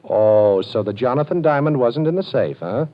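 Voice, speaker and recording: Man playing a confident dry detective, radio play, animated delivery, muffled vintage sound. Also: mid-range voice, moderate pace, close to an everyday voice. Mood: cool. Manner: calm, dryly amused, confident. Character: cool wry ex-con detective, male adult, posing. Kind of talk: 1940s radio acting. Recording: dull old radio broadcast.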